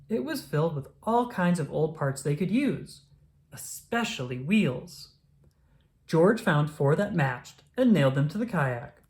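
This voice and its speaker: A woman reading a children's story aloud, with expressive, deliberate phrasing for young listeners. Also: deep voice. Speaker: storybook reader. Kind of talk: children's read-aloud narration.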